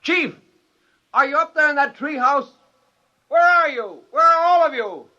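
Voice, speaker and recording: A man's voice: very high-pitched, expressive, measured pace, bright, forceful tone, exaggerated voice. An actor playing an exasperated father and scheming inventor in 1950s radio drama, blustering in dialogue and narrating his own story. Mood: frantic calling. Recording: dull vintage 1950s radio recording.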